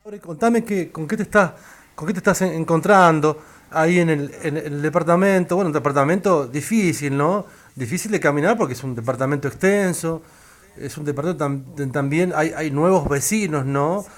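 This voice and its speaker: A man's voice: on the high side, brisk. A man from Argentina, hosting a radio interview and talking with many fillers and restarts. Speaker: radio host.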